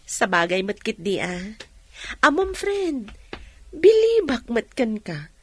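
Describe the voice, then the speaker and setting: A woman's voice: fairly high pitch, big pitch swings, takes it slowly, darkish tone, slightly rough, heavily acted. An actress playing a caring young woman, a loyal best friend with an Ilocano accent, coaxing and chiding expressively in a radio drama. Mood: concerned, admiring.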